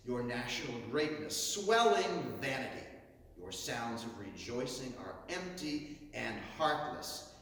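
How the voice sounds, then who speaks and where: A man delivers a theatrical nineteenth-century oration in an emphatic, declamatory manner, pausing for effect. His delivery swings widely in pitch. He is heard at a distance from the mic in a hugely reverberant hall. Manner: declamatory, emphatic, rhetorical, with dramatic pauses. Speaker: orator reciting a historic speech.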